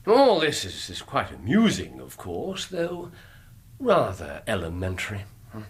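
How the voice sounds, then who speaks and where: An actor playing a brilliant, condescending Victorian gentleman detective in a radio drama, with an English accent and a theatrical, precise, lecturing manner. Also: lowish voice, wildly swooping pitch, measured pace, slightly rough, heavily acted. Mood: brisk, thoughtful.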